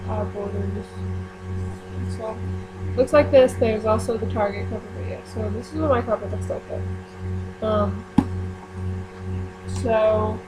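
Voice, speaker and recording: A woman chatting casually to her viewers as she runs a book club, rambling with frequent ums and quick self-corrections. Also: medium pitch, steady tone, over loud music, rough recording. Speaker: YouTuber running a book club. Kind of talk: casual chat to camera.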